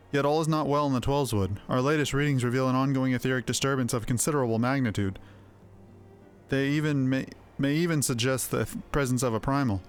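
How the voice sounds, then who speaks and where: A man's voice: medium pitch, close to an everyday voice. A man playing an earnest, dutiful member of a fantasy game order, speaking in formal, old-fashioned phrases. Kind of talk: dramatic fantasy game dialogue.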